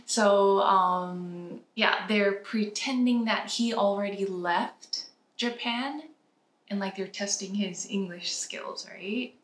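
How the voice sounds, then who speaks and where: A woman co-hosting a casual chat, explaining things in a relaxed way with plenty of fillers. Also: mid-range voice, takes it slowly, echoey room. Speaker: co-host of a reaction channel.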